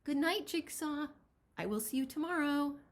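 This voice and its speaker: Woman playing an animated, affectionate old granny. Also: high-pitched, moderate pace, a little hiss or hum, pitched above the performer's own voice. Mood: fond. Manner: affectionate, exclamatory. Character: kindly, doting old granny with a pet pig.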